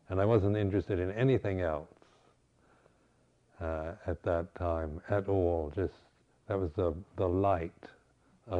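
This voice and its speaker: An older man, a Buddhist teacher, giving a reflective dharma talk with halting restarts. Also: deep voice, warm tone, muffled recording. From United States.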